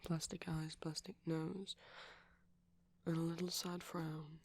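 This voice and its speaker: Give a hushed, creaky male voice for a shy, gentle vampire boyfriend played by a man. He rambles tenderly and hesitantly in ASMR style, often dropping to a whisper. The tone is breathy and a little husky. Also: fairly high pitch, flat delivery, slow. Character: shy, gentle pastel vampire boyfriend showing off plush toys. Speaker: ASMR roleplay performer.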